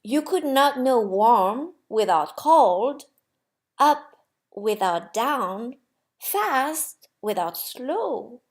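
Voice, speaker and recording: A woman playing a gentle, wise, amused God in a storybook, explaining patiently and reassuringly. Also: on the high side, big pitch swings, very slow, exaggerated voice. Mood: solemn, gentle. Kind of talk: storybook character voice.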